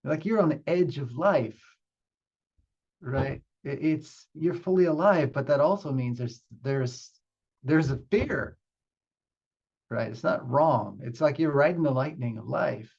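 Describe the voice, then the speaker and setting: A man teaching meditation off the cuff, explaining ideas in a halting, reflective way as he talks with a group. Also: a little high, lively intonation, gravelly, darkish tone, slightly rough, highs cut off. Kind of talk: unscripted spiritual teaching with group questions.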